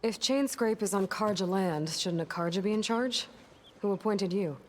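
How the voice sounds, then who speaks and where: A woman's voice: mid-range voice, normal speed, a little hiss or hum, lightly acted. An actress playing a blunt young female hunter hero who speaks tersely and skeptically in a video game.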